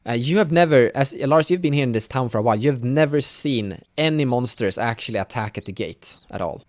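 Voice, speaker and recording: A man's voice: medium pitch, animated, brisk, dry recording. A man acting as game master, improvising narration for a tabletop roleplaying game in a hesitant, repetitive way.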